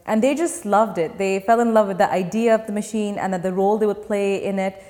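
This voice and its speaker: A young woman from Dubai speaks as a startup founder in an interview, laying out her plans patiently and earnestly. Her voice sits at an ordinary middle pitch. A long reverb trails behind each phrase. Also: fairly even intonation, brisk, mellow. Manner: earnest, explains step by step. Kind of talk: interview answers.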